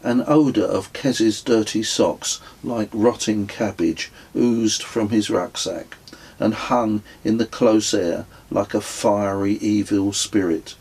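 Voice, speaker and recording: Slow, husky man narrating a book. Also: on the low side, steady tone, a little mellow, rough recording. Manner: measured, descriptive storytelling. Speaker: author reading own book. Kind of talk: scripted literary narration.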